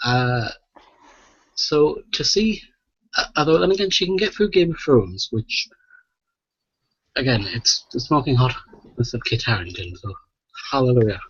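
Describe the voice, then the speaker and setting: A man's voice: medium pitch, unhurried, pauses often, slightly rough. A man hosting a podcast, telling rambling, sweary anecdotes in casual chat and often checking in with "right?". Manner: sweary, animated storytelling with frequent "right?" tags. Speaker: podcast host. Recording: muffled, echoey voice-chat recording.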